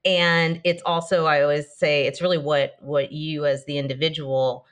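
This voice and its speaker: A woman guest on a marketing podcast, answering in a thoughtful, measured way. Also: low voice, level tone. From born in Denmark, raised partly in Israel and the United States. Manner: thoughtful, measured, some restarts. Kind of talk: conversational interview.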